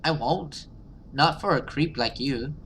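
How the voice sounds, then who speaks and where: A man's voice: mid-range voice, measured pace, a bit bright, a little hiss or hum. A man voicing a brave, protective young woman from a horror game, earnest and reassuring. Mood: defiant.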